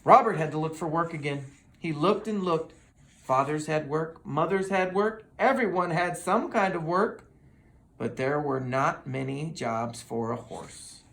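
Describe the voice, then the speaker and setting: A person reading a children's story aloud in an expressive, dramatic manner. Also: medium pitch, measured pace, gravelly. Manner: expressive, with dramatic sound words. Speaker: storybook reader.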